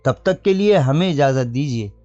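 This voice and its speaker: A man's voice: mid-range voice, expressive, normal speed, mellow, no room sound, dull audio, soft background music. A man presenting a scripted news report in a formal register, addressing the viewers directly.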